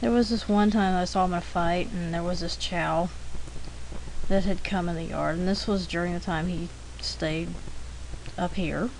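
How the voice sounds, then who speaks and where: A woman casually telling stories as she narrates an art demonstration, unscripted and rambling, with halting self-corrections. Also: lowish voice, steady tone, a little mellow. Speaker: artist narrating a drawing demonstration. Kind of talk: casual unscripted storytelling over an art demo. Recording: clearly noisy homemade recording.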